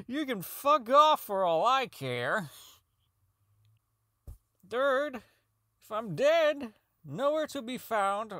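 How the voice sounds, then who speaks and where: Man acting a bitter, rambling, high-voiced engineer. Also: a bit bright, dry recording, studio quality, heavily acted. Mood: indignant, agitated. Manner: rambling, halting, profane, self-deprecating. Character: socially inept, bitter, unravelling male robotics engineer. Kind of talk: audio drama monologue as a recorded personal log.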